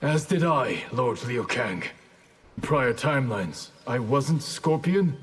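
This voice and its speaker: An actor playing a dutiful, determined ninja grandmaster in a video game, speaking formally and earnestly. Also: male voice, mid-range voice, animated, slow, husky. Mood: earnest, resolute. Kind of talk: video game dramatic dialogue.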